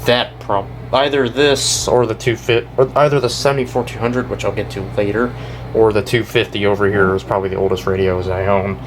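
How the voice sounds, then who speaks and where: A young American man giving a casual, off-the-cuff show-and-tell, talking in a halting, rambling way and often correcting himself. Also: lowish voice, steady tone. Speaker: hobbyist video creator. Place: indoors in a house. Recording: noisy room with air-conditioner hum.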